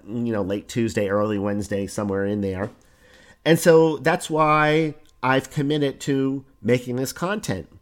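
A man talking into a podcast mic with a hoarse voice. He explains his points in an easy, conversational way and leans on simple examples. His pitch sits in the middle of the usual range for a man. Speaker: podcast host. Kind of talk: solo podcast talk on online business.

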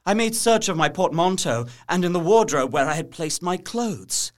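A man's voice: sits high, animated, moderate pace. An actor playing a distressed young captive narrating his journal in an audio drama, earnest, intense and formal. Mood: alarmed. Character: distressed young Englishman imprisoned in a castle, journaling.

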